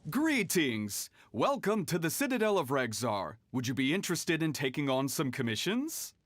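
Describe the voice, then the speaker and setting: A man's voice: a little high, very animated, moderate pace, no echo. A man playing a polite, businesslike receptionist with formal manners in a video game.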